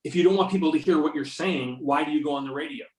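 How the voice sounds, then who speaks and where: A man's voice: a little high, quick pace, a little mellow, lightly acted. A man playing a sardonic, confident FBI agent in an amateur readers-theater performance, with pointed questioning. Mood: needling, stern. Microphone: videoconference or conference call. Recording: roomy echo, distant microphone.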